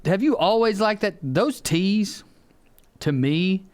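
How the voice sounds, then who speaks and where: Teasing Southern man, fairly high voice. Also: lively intonation, slow pace, clear, resonant tone. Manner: teasing, sardonic interjections. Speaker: podcast co-host. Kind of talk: casual comedic chat.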